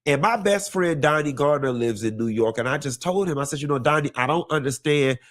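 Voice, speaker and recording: A man's voice: lively intonation, fairly quick. Sassy drawling gossip host, congested man. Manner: sassy, drawling, calls listeners honey and baby; swoops high on greetings and emphasis. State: congested from a cold. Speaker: host of a live gossip show. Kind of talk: casual campy gossip monologue.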